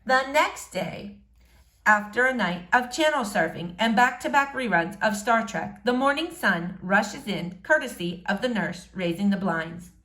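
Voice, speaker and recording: A woman's voice: mid-range voice, expressive, measured pace, pushed and bright. A woman narrating a novel aloud expressively, like a teacher reading to pupils. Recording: roomy, echoing room sound.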